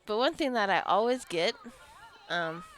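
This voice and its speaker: A woman's voice: medium pitch, lively intonation, measured pace, fairly bright tone, faint music behind. A woman giving casual, rambling gameplay commentary, drifting into chatty asides as she goes. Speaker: gaming video creator.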